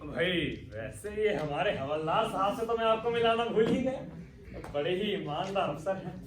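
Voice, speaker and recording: A young man playing a miserly, suspicious father in a theatrical stage comedy, loud, accusing and exasperated. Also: high voice, expressive, normal speed. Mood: complaining. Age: young adult. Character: miserly, irate, suspicious father obsessed with his money. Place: indoor stage, recorded from the audience. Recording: echoey hall, far-off mic, noisy.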